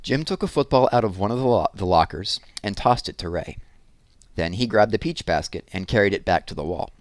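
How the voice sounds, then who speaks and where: A man's voice: fairly low pitch, expressive, fairly quick, no echo. A man reading a children's story aloud as a storyteller.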